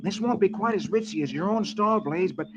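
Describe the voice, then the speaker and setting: A man voicing an earnest, excitable little farm boy in a vintage children's radio reading. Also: high voice, a little fast, over music, voice pushed up. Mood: tender, urgent. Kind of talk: 1940s children's radio comic-strip reading. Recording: muffled, noisy vintage radio recording.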